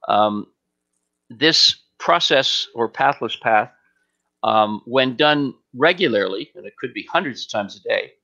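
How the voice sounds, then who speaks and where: A man giving an unscripted spiritual question-and-answer talk as a teacher, speaking deliberately and emphatically with frequent pauses on an online stream. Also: medium pitch, expressive, gravelly, pushed and bright, dull audio.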